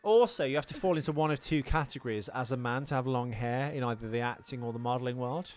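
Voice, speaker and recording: A man's voice: mid-range voice, fast talker, darkish tone. A man co-hosting a casual film podcast, talking in a rambling, self-deprecating way. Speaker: podcast co-host. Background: music playing behind the talk.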